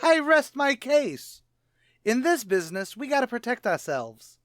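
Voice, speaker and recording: Guy doing a cocky streetwise tavern manager, old radio comedy, real high voice that jumps all over, bad grammar. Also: very animated, a little slow, a bit bright, no room sound, clean studio sound, exaggerated voice. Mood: indignant, bossy. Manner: streetwise, ungrammatical, cocky wisecracking. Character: brash, fast-talking, malapropping male tavern manager.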